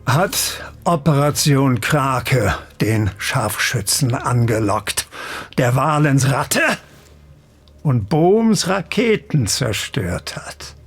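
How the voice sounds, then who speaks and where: A man playing a cold, brooding, menacing Nazi villain in a video game cutscene, speaking deliberately. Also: mid-range voice, sing-song, breathy edge, faint music behind, exaggerated voice. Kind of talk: dramatic game cutscene acting.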